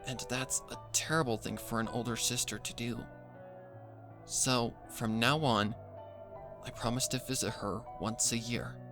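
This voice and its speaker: A man voicing a gentle, earnest young pony mare who speaks softly and sincerely, in a fan fiction story reading. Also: medium pitch, steady tone, a little slow, music in the background, close to an everyday voice. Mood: reflective, regretful.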